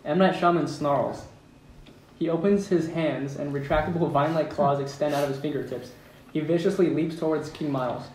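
A man's voice: on the high side, steady tone, brisk, a little mellow. A man reading stage directions aloud at an amateur script table read in a room at home, in a plain manner with some amusement. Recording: roomy, distant mic with background noise.

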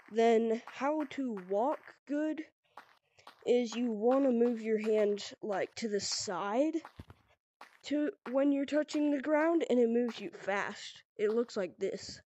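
A young woman talks slowly through a VR game tutorial on a headset mic, her voice stuffy from a cold. Her tone is mellow and a little high, and she rambles loosely with lots of "pretty much" and "like.". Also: animated, highs cut off, slight background noise. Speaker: VR gaming tutorial creator. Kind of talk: casual game tutorial narration.

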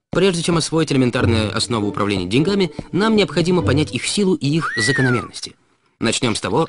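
Expressive man narrating over music. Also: medium pitch. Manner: didactic, rhetorical, addressing the listener. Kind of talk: scripted explainer narration. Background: background music.

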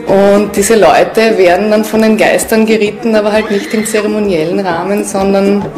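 A woman's voice: mid-range voice, steady tone, normal speed. A woman speaking as an interviewed expert, explaining her field in spontaneous talk. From Austria. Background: background music.

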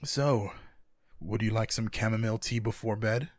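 A man playing a tender, teasing, sleepy lover in an intimate boyfriend ASMR roleplay, speaking softly and affectionately in a husky, gravelly voice. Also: lowish voice, steady tone, dry recording, clean studio sound, natural voice. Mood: caring. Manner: soft, affectionate, unhurried. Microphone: close microphone, dry.

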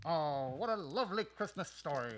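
A man's voice: a little high, expressive, moderate pace, heavy background noise, close to an everyday voice. A man playing a cheery, mock-wholesome, theatrical narrator of a darkly comic Christmas radio tale. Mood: festive, mock-jolly. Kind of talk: radio drama announcer narration.

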